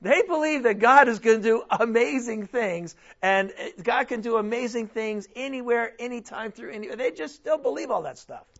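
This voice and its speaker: A man preaching a sermon with a strained voice, nearly worn out, asking the congregation rhetorical questions and swinging between loud and soft. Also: very high voice, normal speed, gravelly, hardly any pauses, highs cut off. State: strained, almost losing his voice. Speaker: pastor.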